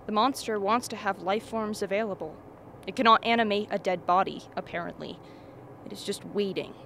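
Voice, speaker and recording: An actress plays a female scientist in a radio drama, cool and exact. Her mid-range voice is measured and formal, edged with dry wit. A little noise runs behind her. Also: medium pitch, fairly bright tone, natural voice. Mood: wry, analytical. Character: coolly analytical female scientist, dry and precise. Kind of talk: radio play acting.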